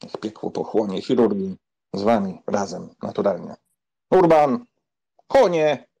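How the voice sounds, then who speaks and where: Guy playing proud impatient young count in an audiobook, raspy, pitch jumps all over, fiery temper. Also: expressive, measured pace, mellow, full character voice. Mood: angry, impatient. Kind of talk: dramatized audiobook reading.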